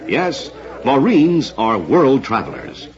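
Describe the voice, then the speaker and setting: A man with an American accent announcing on a 1950s radio program, reading a scripted pitch in a polished, emphatic way. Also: sing-song, slow. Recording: dull, band-limited old radio recording.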